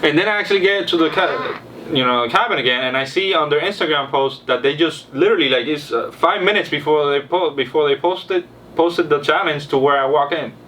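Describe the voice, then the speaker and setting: A man's voice: fairly high pitch, fairly quick, bright, forceful tone. A young man from Cuba casually telling a road-trip story, rambling and repeating words as he acts out what people said. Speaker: car vlog host. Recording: distant mic with faint noise.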